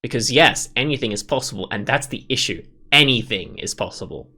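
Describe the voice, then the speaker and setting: A man's voice: mid-range voice, animated, fairly quick, bright, forceful tone. A man hosting a gameplay video, reading visual novel text aloud and adding rambling, casual commentary.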